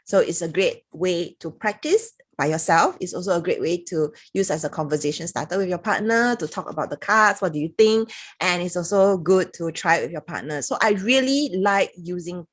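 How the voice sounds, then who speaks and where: A woman's voice: fairly low pitch, expressive, moderate pace. A woman with a Singaporean accent giving a chatty, casual review to camera, reading passages aloud with little laughs. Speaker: product reviewer.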